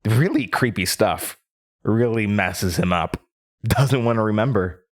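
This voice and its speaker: Man voicing a slow, creepy word-hungry entity. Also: lowish voice, lightly acted. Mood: gleeful, taunting. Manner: theatrical, giggling, savoring words. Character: gleeful, unsettling word-devouring entity named Sally, speaking through a man. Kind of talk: horror audio drama monologue.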